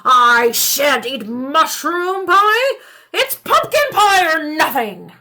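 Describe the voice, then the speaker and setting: Woman putting on a croaky, cranky ancient man. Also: high voice, sing-song, slow pace, bright, forceful tone, voice pushed up, heavily acted. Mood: demanding. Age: very old. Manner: hollering, indignant, exclaiming. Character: cranky, bossy eight-hundred-year-old man, hungry for pie.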